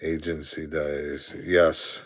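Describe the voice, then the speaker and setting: A man's voice: rumbling low, level tone, slow, a bit bright, slight background noise. A man in his sixties from Brooklyn, New York, with a Brooklyn accent, chatting casually on a live stream, answering viewer comments aloud and chuckling often.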